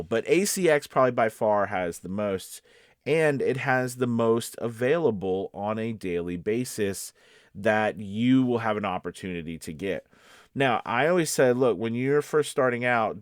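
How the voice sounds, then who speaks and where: Male coach and host, deeper register, very dry recording, conversational explainer who checks in often. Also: dry recording. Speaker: voice-over coach and video host. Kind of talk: informal instructional talk to camera.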